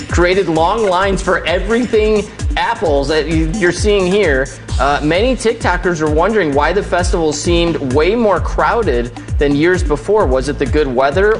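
A woman anchoring a morning news show, reading trending news stories in a conversational way with frequent fillers. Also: deep voice. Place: TV news studio.

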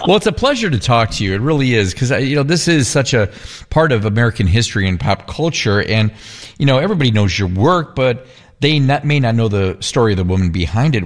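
A man hosting a radio interview in a friendly, chatty way, laughing easily. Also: mid-range voice. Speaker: radio host.

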